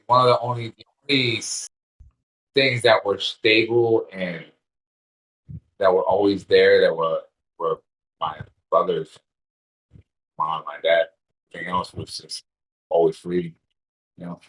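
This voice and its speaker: Interview guest: man, 30s, from Puerto Rico, very deep voice, loose rambling delivery with restarts. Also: measured pace, pauses often, poor audio. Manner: relaxed, rambling, swears casually, many restarts. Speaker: interview guest, bare-knuckle fighter. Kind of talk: casual interview chat.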